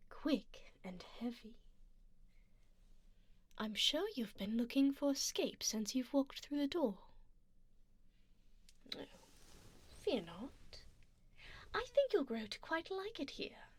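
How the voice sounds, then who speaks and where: A woman's voice: on the high side, very animated, slow pace, pauses often, breathy edge, full character voice. A woman playing a haughty, doting vampire duchess in an intimate ASMR roleplay, imperious and refined, often slipping toward a whisper. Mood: doting, predatory. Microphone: close binaural microphone.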